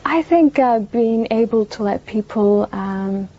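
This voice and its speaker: A young Irish woman, Irish Gaelic her first language, giving soft, hesitant interview answers with many fillers. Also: on the high side, slow, a little hiss or hum. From northwest Ireland. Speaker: musician guest. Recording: dull lo-fi broadcast tape.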